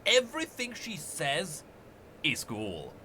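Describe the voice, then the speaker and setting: A man's voice: a little high, slow pace, a little hiss or hum. A man giving casual, emphatic reaction commentary on video game lore, thinking aloud as he goes. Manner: animated, emphatic, speculating aloud.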